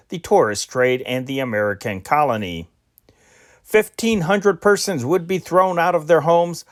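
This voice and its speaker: A man with a voice pitched a bit higher than most reads out old newspaper dispatches one after another. His delivery is steady and unpolished, with occasional slips where he restarts a word. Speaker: news reader.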